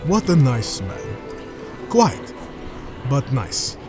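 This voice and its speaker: Polite panda man adventurer, measured but melodic, fantasy audio drama w music behind. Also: medium pitch, big pitch swings. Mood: fond. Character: courteous male Pandaren traveler, chatty and curious.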